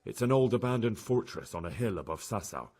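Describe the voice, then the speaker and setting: A man's voice: lowish voice, sing-song, fairly quick, a little mellow, slightly rough. An actor playing an earnest young man in a video game cutscene, a captive turned messenger. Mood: earnest, grave.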